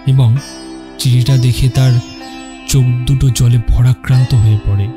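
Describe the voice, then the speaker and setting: A man narrating a scripted dramatic story in measured, separate phrases. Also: medium pitch, steady tone. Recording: music under the narration.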